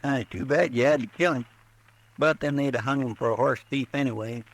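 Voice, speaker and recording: A man's voice: mid-range voice, a little fast, close to an everyday voice, rough recording. A man playing an eager deputy sidekick. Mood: earnest. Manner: deferential, earnest. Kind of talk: 1950s radio acting.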